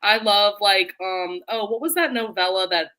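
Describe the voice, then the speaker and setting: A woman's voice: medium pitch, normal speed, bright, forceful tone, poor audio. A woman co-hosting a casual book chat, talking in an enthusiastic, emphatic way. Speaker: book readalong co-host.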